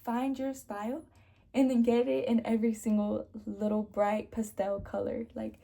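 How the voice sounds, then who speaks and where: A woman's voice: a little high, little pitch movement, moderate pace, creaky, dark tone. A young woman with a sore throat, chatting casually to camera as a beauty vlogger and sprinkling her talk with "like".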